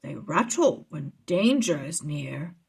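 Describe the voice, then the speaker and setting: A woman reads as a kindly elderly wizard from a children's storybook. He is calm and reassuring, explaining things slowly and patiently in a very husky voice. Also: low voice, pitched below the performer's own voice, full character voice. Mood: serious, reassuring.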